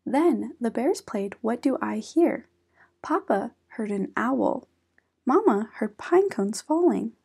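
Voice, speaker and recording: A woman reading a children's picture book aloud in an expressive, deliberate storytelling manner. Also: on the high side, vocal fry, slightly rough. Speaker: read-aloud channel host.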